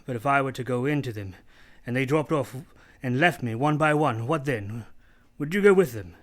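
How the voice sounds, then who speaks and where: An actor playing a commanding, brooding gentleman past forty in a radio play, with an imperious, brusque manner. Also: medium pitch, lively intonation, moderate pace, close to an everyday voice. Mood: shaken.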